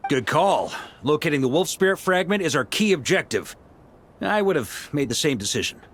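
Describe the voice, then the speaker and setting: An actor playing a confident, breezy yet resolute middle-aged knight commander in game dialogue, expressive and casually commanding. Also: male voice, a little high, moderate pace, a little hiss or hum. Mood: urgent, assured.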